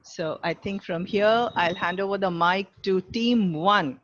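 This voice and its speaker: A woman's voice: mid-range voice, a little slow, fairly bright tone, no room sound. Woman, Indian accent, online teacher, dry close sound, dull lo-fi call audio. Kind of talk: online class hosting. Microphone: video call.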